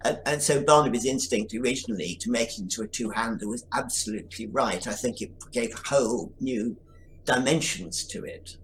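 Male writer, interview guest, reflective, middle pitch, faint noise. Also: brisk, poor audio. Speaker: writer of an audio drama. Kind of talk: podcast interview.

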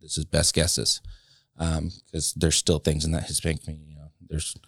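A man in his late thirties, a guest in a casual interview, speaking reflectively and haltingly with many pauses and restarts. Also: very deep voice, completely flat delivery, gravelly, a bit bright, slightly rough, no room sound, clean studio sound. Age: late 30s.

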